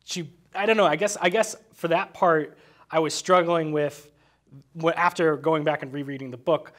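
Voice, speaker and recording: Male, higher register, halting think-aloud delivery for an informal movie discussion. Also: fairly even intonation, a bit bright. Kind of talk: casual film discussion.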